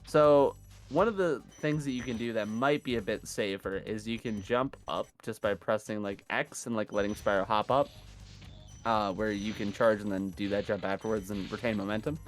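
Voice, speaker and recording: A man commentating a live gaming marathon in a casual, chatty, self-deprecating way, his voice hoarse from days of yelling. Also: fairly low pitch, normal speed, faint music behind.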